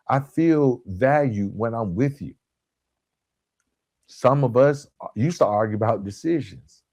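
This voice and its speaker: A man hosting a motivational coaching monologue, speaking directly and informally to listeners with emphatic, deliberate phrasing and repetition. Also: medium pitch, mellow, dry recording. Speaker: podcast host and author.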